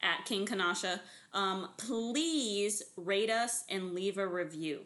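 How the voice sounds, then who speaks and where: A woman's voice: mid-range voice, slow pace, a bit bright. A young woman co-hosting a casual podcast who drops dry, teasing remarks. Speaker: podcast co-host. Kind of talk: casual chat.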